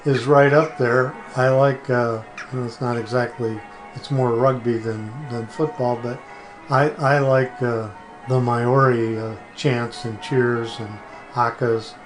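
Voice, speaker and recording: A man with a mid-pitched voice chats as a guest. His delivery is halting and deliberate, and music is clearly heard behind him. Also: fairly even intonation, low-quality recording. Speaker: podcast guest. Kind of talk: casual chat.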